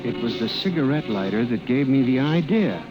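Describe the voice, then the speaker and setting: A man's voice: medium pitch, expressive, moderate pace, dull audio, natural voice. An actor playing an anxious male office scientist narrating his descent into paranoia in an old-time radio drama, with a tense, melodramatic delivery. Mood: brooding. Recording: vintage radio drama with music underneath.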